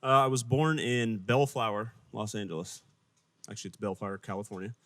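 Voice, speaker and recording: Low-voiced relaxed man. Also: brisk, no echo, studio quality. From Las Vegas, Nevada. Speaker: church staff member. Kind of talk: casual interview answers.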